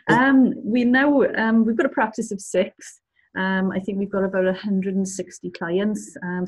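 A woman in her fifties from South Wales with a South Welsh accent, an accountant answering interview questions over a video call, pausing often with ums. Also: medium pitch, breathy edge, poor audio. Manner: hesitant, with frequent ums. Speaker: accountant and practice owner.

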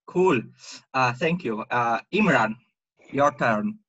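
Man on a video call, talks slow with lots of uhs, dry sound. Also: mid-range voice, animated, a bit bright, no room sound, dull audio. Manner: halting, many pauses and fillers. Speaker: meeting host.

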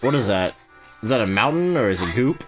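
A man's voice: mid-range voice, very animated, brisk. A man chatting casually and jokingly as he watches a friend play a video game, crude, slangy and teasing. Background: game music.

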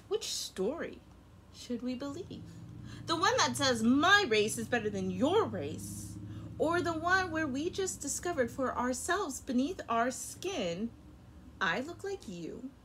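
A young woman teacher reading a picture book aloud to kindergarten children, very expressive, her loudness rising and falling sharply. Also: a little high, slight background noise, poor audio. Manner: expressive and animated, with big swings in loudness. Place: home room in front of a rainbow feather backdrop.

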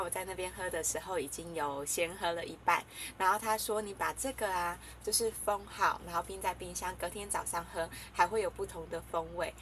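A woman's voice: medium pitch, fairly bright tone, slight background noise. A young woman casually chatting to the camera while reviewing coffee, talking in a hesitant way with many fillers and giggles. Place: small room indoors.

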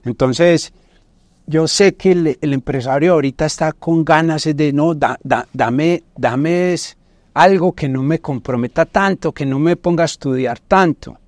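A man's voice: on the high side, measured pace, a little mellow, dry recording. A middle-aged man with a Colombian accent explains business finance as a podcast guest, in a didactic way with frequent tag questions. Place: indoor podcast studio. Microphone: lapel mic.

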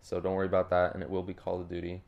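A young man with a sore throat, his voice hoarse, giving rambling, apologetic voice-over commentary over gameplay. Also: deep voice, completely flat delivery, rapid-fire, dull audio, a little hiss or hum. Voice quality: hoarse, gravelly. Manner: rambling, apologetic, many ums. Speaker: gaming YouTuber. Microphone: home desk mic with a cough drop.

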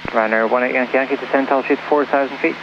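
A man's voice: mid-range voice, steady tone, rapid-fire, muffled recording. A man working as an air traffic controller, giving clipped procedural instructions over aviation radio.

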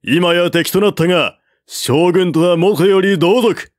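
Man playing a haughty enemy general, high raised voice, loud and declaiming, storybook reading. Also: a little slow, no room sound, clean studio sound. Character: proud older male enemy general, commanding.

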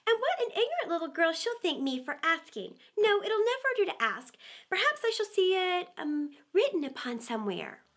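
A woman's voice: very high-pitched, sing-song, normal speed, fairly bright tone, voice pushed up, exaggerated voice. A woman voicing a curious, chatty little girl who muses aloud earnestly in a children's storybook. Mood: wondering. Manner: musing aloud to herself, earnest.

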